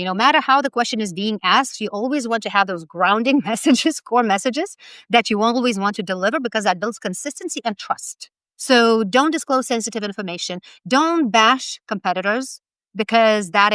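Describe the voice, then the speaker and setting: A woman's voice: mid-range voice, fairly bright tone, no room sound. A woman in her forties from Lithuania with a Lithuanian accent, a branding expert giving direct, emphatic advice in an interview and laughing between points. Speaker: personal branding expert guest.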